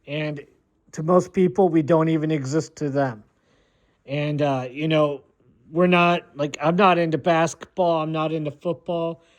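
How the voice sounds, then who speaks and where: An American man giving a rambling, opinionated monologue in a blunt, dismissive way, often halting and repeating himself. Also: on the high side, steady tone. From United States. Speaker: video maker.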